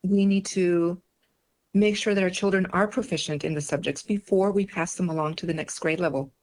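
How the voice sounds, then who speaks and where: A woman's voice: fairly low pitch, steady tone, moderate pace, a little mellow. A woman from Mexico whose first language is Spanish, answering interview questions as a political candidate in an earnest, emphatic way that leans on repetition.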